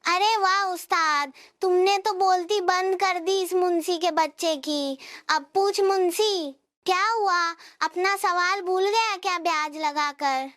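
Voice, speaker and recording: A woman's voice: very high-pitched, slow pace, fairly bright tone, dry recording, studio quality, heavily acted. A woman voicing a cheeky, teasing young sidekick in a children's cartoon, loyal to the hero.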